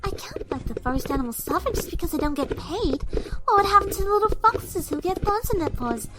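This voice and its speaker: A woman voicing a shy, gentle young cartoon pony, hesitant and soft-spoken. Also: very high voice, expressive, fairly quick, poor audio. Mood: gently earnest. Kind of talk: fan audio drama acting.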